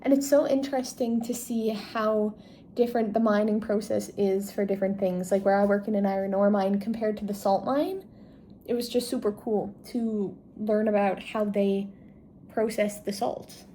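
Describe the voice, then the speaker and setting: A woman's voice: mid-range voice, fairly even intonation, normal speed, warm tone, slight background noise. A woman in her twenties chatting casually to camera as a travel vlogger, self-mocking and tired at day's end. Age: 20s. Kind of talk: casual vlog chat to camera.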